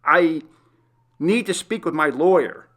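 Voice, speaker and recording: A man's voice: a little high, expressive, measured pace, a bit bright, voice pushed up. A man voicing a frantic, desperate prisoner who pleads emphatically in a storybook reading. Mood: nervous, urgent. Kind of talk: audiobook character acting.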